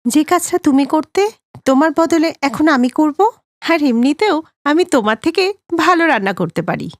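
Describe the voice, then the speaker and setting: A woman's voice: sits high, lively intonation, normal speed, no echo, studio quality. A woman voicing a devoted, determined young village wife and mother in a cartoon story. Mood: earnest, resolute.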